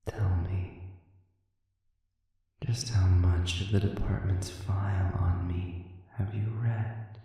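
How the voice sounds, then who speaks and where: A man playing a sadistic, coolly amused demon in an audio roleplay, speaking in a measured, silky, condescending way with soft edges that slip toward a whisper. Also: deep voice, level tone. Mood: coolly polite, probing. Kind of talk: scripted audio roleplay monologue. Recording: very echoey reverberant room, distant.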